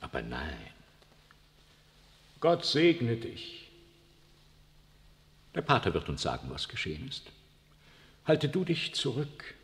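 An actor playing an imperious, evasive aging pope in a 1960s radio drama, speaking in a lofty, cold way and using the royal we. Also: male voice, medium pitch, wildly swooping pitch, slow, long pauses, fairly bright tone, heavily acted. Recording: long reverb of a large room.

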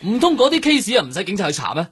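An actor with a Hong Kong Cantonese accent playing an exasperated middle-aged police superior in a radio drama, lecturing with pointed questions in a husky voice. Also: male voice, sits high, wildly swooping pitch, rapid-fire, fairly bright tone, heavily acted.

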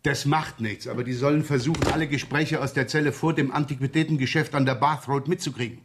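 An actor playing a grumbling, harried police inspector giving brisk orders in a German radio crime drama. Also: male voice, mid-range voice, fairly even intonation, lightly acted. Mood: brisk, commanding. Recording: noisy 1970s radio recording.

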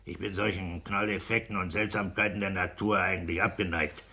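Forceful, husky, smug petty official. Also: male voice, deep voice, fairly even intonation, fairly quick, full character voice. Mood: jovial, smug. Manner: blunt, self-satisfied, domineering. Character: smug, stubborn petty-bourgeois civil servant and husband. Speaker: actor in a radio play. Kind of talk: 1960s German radio play acting.

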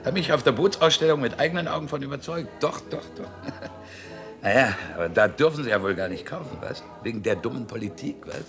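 Jovial, tactless party guest. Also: male voice, mid-range voice, animated, a little fast, gravelly, over music. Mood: jovial, patronizing. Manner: chuckling small talk, repeats his phrases. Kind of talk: German radio drama acting.

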